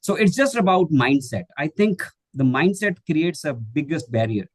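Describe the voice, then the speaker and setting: A man's voice: on the high side, expressive, moderate pace, dry recording. Hesitant Indian man on a video call. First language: Hindi. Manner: hesitant, many fillers and restarts. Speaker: guest speaker, English teacher trainer. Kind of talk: online webinar Q&A.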